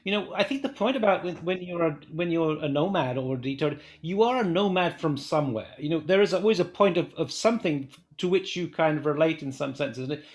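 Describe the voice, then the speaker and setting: A man's voice: on the high side, brisk, few pauses. A man with a British accent making a hesitant, stumbling comment in an academic panel discussion. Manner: hesitant, stumbling over words, casual phrasing.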